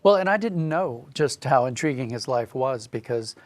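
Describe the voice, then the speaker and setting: A man explaining things as an interview guest, informal and a little halting as he corrects himself. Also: mid-range voice, expressive, no room sound. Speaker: interview guest, audio drama writer.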